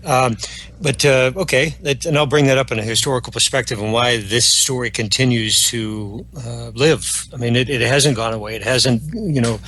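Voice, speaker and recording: A man guesting on a podcast, explaining his documentary work at length. His voice sits in an ordinary middle pitch. He stumbles through fillers and restarts his sentences often. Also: normal speed, fairly bright tone. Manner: rambling with many fillers and restarts. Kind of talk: podcast interview.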